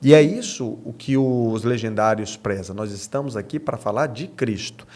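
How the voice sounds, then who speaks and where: A man's voice: mid-range voice, moderate pace, a little mellow. A man with a Brazilian accent, a podcast guest explaining conversationally in an assured, emphatic way. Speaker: podcast guest, men's movement member.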